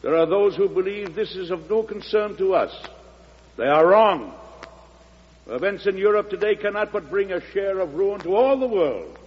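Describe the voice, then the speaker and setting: An actor playing a grave, idealistic American President in an old-time radio drama, speaking in a measured, oratorical manner. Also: male voice, sits high, expressive. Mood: solemn, declaiming. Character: solemn, idealistic male American President pleading for peace. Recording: muffled old radio recording, reverberant, humming noise.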